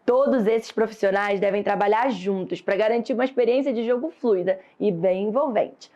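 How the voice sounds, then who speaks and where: A woman's voice: fairly high pitch, darkish tone, muffled recording. A woman with a Brazilian accent narrating a scripted lesson as an instructor, in a didactic way. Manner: clear, didactic.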